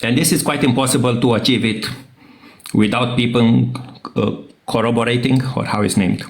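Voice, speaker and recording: A man with a Romanian accent, a native Romanian speaker, arguing in a casual debate and often pausing to search for words. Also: medium pitch, steady tone, gravelly, roomy sound. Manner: halting, searching for words, fillers.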